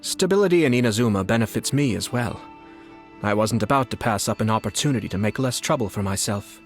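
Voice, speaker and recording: A man acting a composed, shrewd young noble clan head in a fantasy game, speaking in a measured, courtly, formal way. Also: lowish voice, expressive, close to an everyday voice. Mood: calm, calculating. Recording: background music under the voice.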